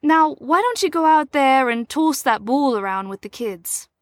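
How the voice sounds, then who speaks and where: A woman playing a shrewd, teasing adult mentor to troubled teens in an audio drama, with a measured, knowing, probing delivery. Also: sits high, animated, dry recording. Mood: reassuring.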